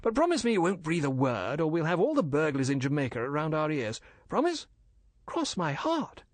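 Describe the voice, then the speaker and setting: A man's voice: on the high side, wildly swooping pitch, normal speed, no echo, pitched above the performer's own voice. A man acts out a British army major in an audiobook tale. He talks in clipped, old-fashioned officer slang, keeping up a breezy front over his nerves. The recording is dry and close. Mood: conspiratorial.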